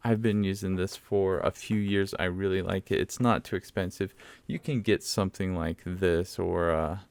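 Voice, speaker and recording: Low-pitched man, casual gear review. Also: steady tone, normal speed, vocal fry, clear, resonant tone, dry recording. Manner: conversational, explanatory. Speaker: microphone reviewer. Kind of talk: casual product demo talk. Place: open room at home, in a dome house. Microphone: condenser mic on a mixer, fairly close.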